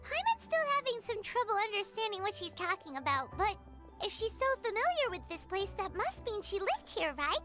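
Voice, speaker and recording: A little fairy-like game companion with a tiny, very high voice. The delivery is animated, childlike and exaggerated, over clearly heard music. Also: female voice, moderate pace, full character voice. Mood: cheerful, puzzled. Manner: chirpy, exaggerated, refers to self in third person. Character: small floating childlike companion, excitable, talks of herself by name. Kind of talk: video game character voice acting. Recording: music behind the voice.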